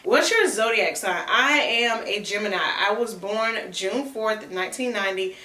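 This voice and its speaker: A woman in her thirties chatting casually to camera, answering viewer questions with relaxed slang. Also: medium pitch, normal speed, distant mic. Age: 30s. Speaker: content creator. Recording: echoey room, mic set back.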